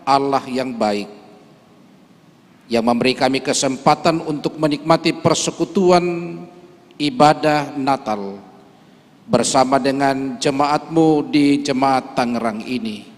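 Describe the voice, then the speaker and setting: A man's voice: mid-range voice, slow, pauses often. Earnest man praying in a reverberant hall. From Toraja, Indonesia. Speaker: church regional leader leading prayer. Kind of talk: church speech and closing prayer. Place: large church hall.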